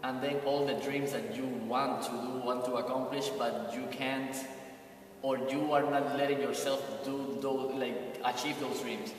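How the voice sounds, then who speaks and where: A man's voice: mid-range voice, level tone. A man from Cuba with a Cuban Spanish accent, a choreographer talking casually in an interview and often searching for words. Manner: halting, searching for words, many "like" fillers. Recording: roomy echo, far from the mic.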